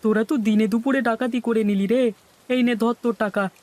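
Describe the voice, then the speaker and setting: A man's voice: very high-pitched, steady tone, a little fast, a little mellow. A man voicing a grumbling, complaining village shopper in a cartoon. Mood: indignant, resigned. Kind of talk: cartoon dialogue.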